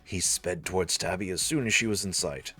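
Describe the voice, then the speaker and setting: A man with a low voice narrates a visual novel. His delivery is scripted, dramatic storytelling, with soft music underneath. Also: a little fast.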